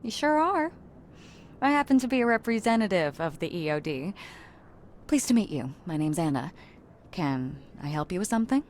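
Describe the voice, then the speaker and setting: Lowish-voiced, coaxing charity recruiter. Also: female voice, big pitch swings, normal speed, long pauses, darkish tone, slight background noise. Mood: welcoming. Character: friendly, eager female charity recruiter with evasive charm. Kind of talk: video game cutscene acting.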